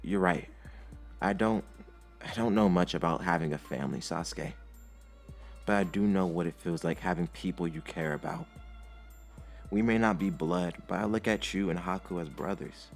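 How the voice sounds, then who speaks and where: A man's voice: sits low, moderate pace, vocal fry, pauses often. A determined young male ninja hero, acted by a man telling a story. He sounds earnest and heartfelt, speaking with emphasis over faint music. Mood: tender.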